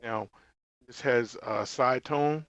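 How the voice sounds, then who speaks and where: A man giving a casual product review, explaining informally in short, halting phrases. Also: mid-range voice, a bit bright, dry recording, dull audio. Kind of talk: casual gear review vlog.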